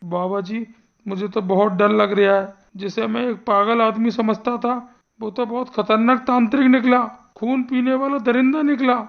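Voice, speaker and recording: A woman's voice: fairly high pitch, flat delivery, moderate pace, close to an everyday voice. Dull-sounding woman as an anxious village headman. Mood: fearful, pleading. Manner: earnest, imploring. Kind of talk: animated horror story acting.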